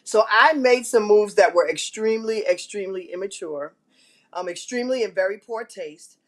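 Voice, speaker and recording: A woman hosting a live online show, telling a candid personal story to her viewers in a big, carrying voice, frank and self-mocking, often clearing her throat. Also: medium pitch, normal speed.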